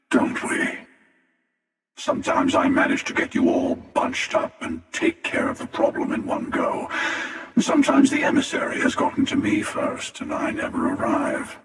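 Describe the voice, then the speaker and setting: An actor playing a smug, world-weary older hunter in a video game, with a gravelly voice, a measured sardonic delivery and an echoing reverb effect. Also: male voice, deep voice, fairly bright tone, exaggerated voice. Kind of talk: video game character dialogue. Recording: echoing long reverb, distant-sounding.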